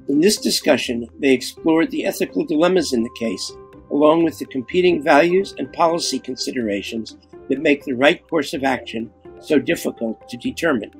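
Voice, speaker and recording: Older man reading over soft guitar music. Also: fairly high pitch, creaky, low-quality recording. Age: 70s. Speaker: podcast host. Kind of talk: scripted introduction, read out. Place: small room at home. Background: plucked guitar music.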